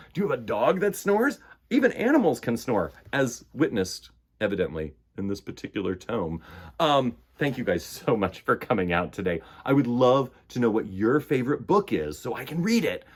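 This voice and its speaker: A man's voice: medium pitch, big pitch swings, moderate pace, slightly rough. A man hosting storytime for children, reading and talking to young listeners in a playful, theatrical way. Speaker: children's storytime host and reader. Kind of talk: picture book reading and chat to children.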